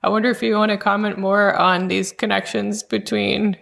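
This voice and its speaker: A woman's voice: medium pitch, level tone, normal speed, creaky, a bit bright. A woman co-hosting a conversational interview as a researcher, speaking informally. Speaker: podcast co-host and researcher.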